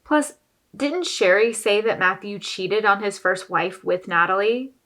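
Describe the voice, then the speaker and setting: A woman's voice: medium pitch, unhurried, fairly bright tone, faint music behind. Opinionated woman reacting to a show. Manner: opinionated, conversational asides to the show. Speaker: reaction video host. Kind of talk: casual TV reaction commentary.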